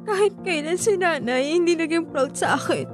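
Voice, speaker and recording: A woman's voice: very high voice, normal speed, over music. A young woman acting a dutiful, sensitive Filipino daughter and student in a radio drama. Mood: crying, hurt.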